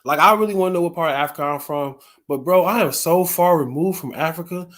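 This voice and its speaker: A man's voice: a little high, quick pace, a bit bright. A man from the United States in a casual podcast chat, earnest and thinking aloud with lots of filler words.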